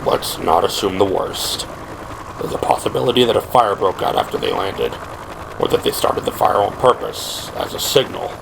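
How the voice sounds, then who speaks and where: A man voicing a cool, controlled police captain in an audio drama, measured and authoritative, over background noise. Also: on the low side, very animated, creaky, exaggerated voice. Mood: subdued, controlled.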